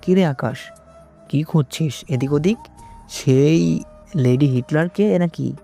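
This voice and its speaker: A man's voice: fairly high pitch, takes it slowly, vocal fry, dark tone. A man playing a joking, teasing young college friend in an audio story. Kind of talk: scripted audio story acting. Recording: music under the voice.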